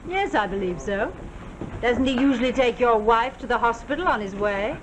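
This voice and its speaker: An actress in a 1940s television drama playing a cool, sardonic countess with clipped, disdainful politeness. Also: female voice, a little high, lively intonation, moderate pace, close to an everyday voice, rough recording. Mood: cool, mocking. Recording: old 1949 soundtrack with faint noise.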